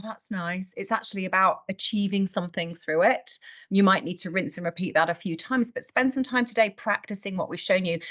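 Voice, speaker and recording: A middle-aged woman hosting a webinar in an informal, direct, chatty and wry way. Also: on the low side. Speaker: webinar host. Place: indoors on a video call. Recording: dull video call audio.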